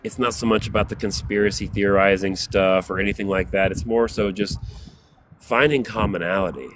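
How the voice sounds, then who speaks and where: A man speaking as a guest on a livestream, thoughtful and halting, stopping often to rephrase. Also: fairly low pitch, steady tone, a little hiss or hum. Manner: thoughtful, halting, many "you know" fillers. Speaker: guest panelist. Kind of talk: reflective casual talk.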